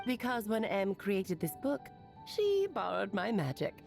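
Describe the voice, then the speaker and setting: A woman's voice: mid-range voice, big pitch swings, measured pace, creaky, darkish tone, soft background music. A woman voicing a playful, theatrical witch aunt who teases fondly and laughs between her lines. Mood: knowing.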